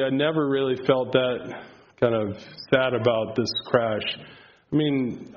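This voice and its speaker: A man whose first language is Pennsylvania Dutch, giving a casual, rambling testimony before an audience with lots of filler words. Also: mid-range voice. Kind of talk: faith testimony talk. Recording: slightly dull, lo-fi sound.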